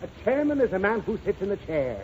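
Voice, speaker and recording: A man's voice: high-pitched, sing-song, fast talker. An actor playing a middle-aged, irritable, pompous, stammering father in 1930s radio comedy. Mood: exasperated. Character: flustered, exasperated father rehearsing a banquet speech. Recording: hissy muffled 1930s radio recording.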